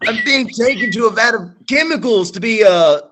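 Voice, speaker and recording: A teenage actor playing a doomed soldier in a school radio play, crying out in over-the-top comic death throes. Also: male voice, sits high, expressive, moderate pace, fairly bright tone. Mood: hammy dying. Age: teens. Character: doomed young soldier, melodramatic and hammy.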